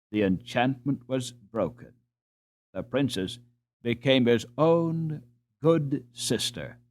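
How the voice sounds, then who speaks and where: Male audiobook narrator, measured storybook reading, unhurried pace, widely varied intonation. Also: mid-range voice.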